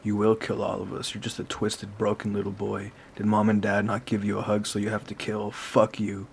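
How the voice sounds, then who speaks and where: Cast: man doing an angry young brother, gravelly. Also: fairly low pitch, completely flat delivery, normal speed, slight background noise. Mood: furious. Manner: angry, cursing.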